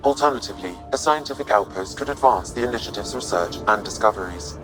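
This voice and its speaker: An actor voicing a calm, formal artificial intelligence advisor in a video game, measured and precise. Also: male voice, fairly low pitch, music in the background, close to an everyday voice, poor audio. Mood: calm, advisory. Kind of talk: video game dramatic dialogue.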